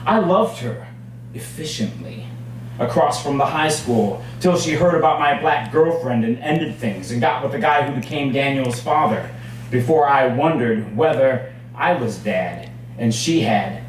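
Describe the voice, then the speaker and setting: Echoey, slow-paced man reading poetry aloud. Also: mid-range voice, expressive. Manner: measured, dramatic phrasing with deliberate pauses. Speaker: poet reading own work. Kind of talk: live poetry reading, performed free verse. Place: indoors at a cafe poetry reading. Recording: very echoey room, distant mic, faint noise.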